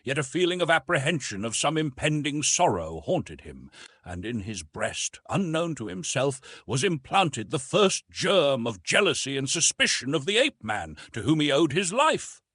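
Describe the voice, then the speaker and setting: Husky male storyteller with sweeping intonation. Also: medium pitch, moderate pace, dry recording, clean studio sound. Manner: expressive, literary storytelling. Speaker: audiobook narrator. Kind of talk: scripted dramatic narration of a classic novel.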